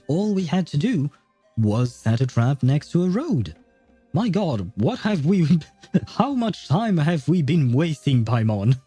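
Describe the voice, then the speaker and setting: A man's voice: medium pitch, dark tone. A man from France, chatting casually and ramblingly with viewers as he streams a game. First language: French. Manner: rambling, chatty, answers chat as he goes. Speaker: game livestreamer. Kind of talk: casual chat with viewers while playing. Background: faint game music.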